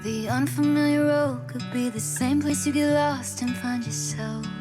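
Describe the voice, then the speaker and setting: High-voiced woman over loud guitar. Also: steady tone, a little slow. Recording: loud guitar music behind.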